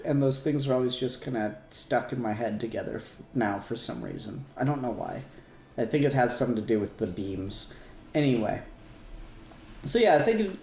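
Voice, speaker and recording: A man's voice: fairly high pitch, darkish tone, echoey room, slight background noise. A man hosting a casual fan discussion podcast, talking in a rambling way and often correcting himself. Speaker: podcast host.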